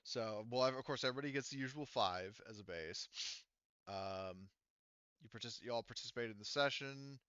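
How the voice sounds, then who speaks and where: A man's voice: mid-range voice, moderate pace, a bit bright, smooth, no echo, muffled recording. A man casually narrating a tabletop game as game master, thinking aloud through the rules in an informal way.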